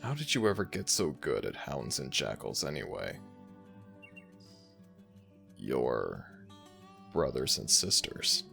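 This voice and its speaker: A man voicing an ancient jackal god in an audio roleplay, gentle, teasing and fond toward the listener. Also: huge pitch swings, soft background music, exaggerated voice. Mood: playful warm. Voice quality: very deep gravelly voice, slow and soothing. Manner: affectionate, playfully self-mocking.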